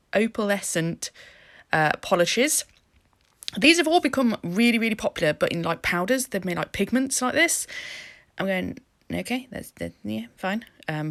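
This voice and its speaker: Giggly lady doing a voiceover, rambly and chatty, voice jumps all over. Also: fairly bright tone. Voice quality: slightly deep for a woman. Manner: giggly, rambling, self-deprecating. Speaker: craft channel host. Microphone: voice-over mic.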